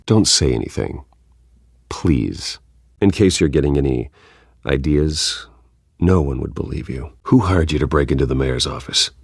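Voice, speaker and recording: A brooding rich vigilante for a video game. His voice is extremely deep and gravelly, with husky grain. He speaks in a terse, controlled, probing way. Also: expressive, a little slow, dry recording, clean studio sound, full character voice.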